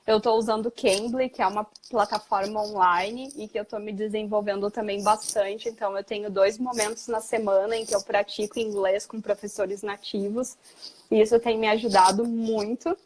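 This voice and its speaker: A woman's voice: mid-range voice, level tone, normal speed. A woman hosting a casual live stream who chats freely and reads out viewers' comments. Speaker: host.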